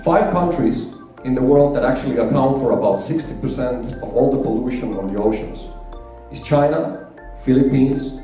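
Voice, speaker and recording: A man from Finland gives an emphatic business presentation, driving home his figures by repeating them. His voice is mellow and dark-toned, heard from a distance in a very echoey hall with faint music behind. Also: mid-range voice, moderate pace. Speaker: conference presenter. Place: large conference hall.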